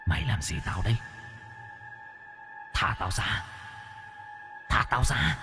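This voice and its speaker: A man's voice: lowish voice, sing-song, slow pace, over music. A man voicing the menacing ghost of a dead old woman in a horror story, gasping, groaning and threatening. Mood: threatening, frantic.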